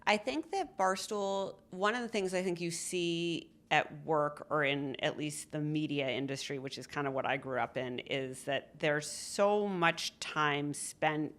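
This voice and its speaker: A woman in her forties with a notably low voice talks as a podcast guest. She is candid and chatty, full of quick self-deprecating asides. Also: fairly bright tone. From born in Colorado, raised in New Hampshire. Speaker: interview guest, former media CEO. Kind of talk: casual interview conversation.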